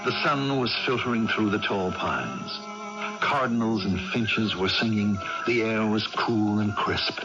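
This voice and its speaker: A man narrating a period tale in a vintage radio drama with measured, scripted storytelling delivery. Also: fairly low pitch, over music. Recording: muffled old radio broadcast.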